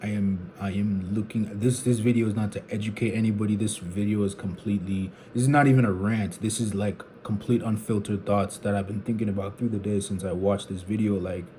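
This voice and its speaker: A young man muses aloud for his vlog, searching and rambling. His voice sits low and soft-edged, slightly dark in tone. A faint background hum stays under his talk. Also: fairly even intonation, a little fast, hardly any pauses. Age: 20s. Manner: rambling, searching, restarts sentences. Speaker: vlogger. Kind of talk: unfiltered thinking out loud.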